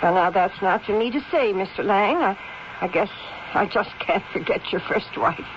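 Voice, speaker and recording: An actress playing a loyal, plain-spoken old housekeeper, kindly and dutiful, folksy and deferential, in 1970s radio drama style. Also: female voice, mid-range voice, normal speed, close to an everyday voice. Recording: dull, noisy 1970s radio drama recording.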